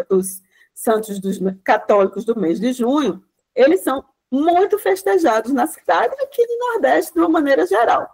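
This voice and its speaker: A woman lecturer with a Pernambuco Brazilian Portuguese accent talks through her material in a loose, chatty way. Her voice sits a little high, and she keeps checking in with tag questions and fixing her own words as she goes. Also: a little mellow, low-quality recording. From Caruaru, Pernambuco, Brazil. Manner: chatty, many "né" tags and restarts. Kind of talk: informal lecture.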